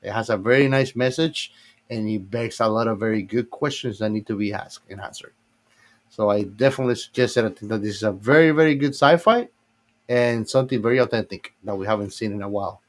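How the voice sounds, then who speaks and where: A man's voice: on the low side, fairly quick. A man speaking as a podcast panelist, giving a casual film review.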